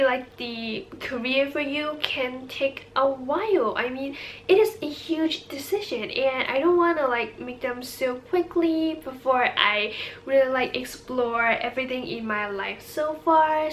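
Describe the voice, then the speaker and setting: High-voiced young Hong Kong woman chatting. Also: fairly bright tone, slight background noise. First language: Cantonese. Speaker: vlogger. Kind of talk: casual chit-chat to camera.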